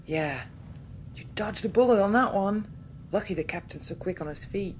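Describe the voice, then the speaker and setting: A woman's voice: normal speed, darkish tone, close to an everyday voice. A woman playing a blunt, sarcastic starship doctor in a science fiction audio drama, talking in a dry, casual way. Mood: teasing. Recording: background noise with low rumble.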